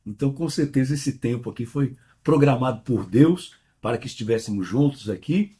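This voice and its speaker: A man's voice: medium pitch, lively intonation, warm tone, breathy edge. A middle-aged man, a pastor, speaking earnestly in an interview with a mixed Brazilian Portuguese accent and telling stories. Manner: earnest, measured, tells anecdotes with quoted voices. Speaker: pastor and church president. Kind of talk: interview guest, conversational storytelling.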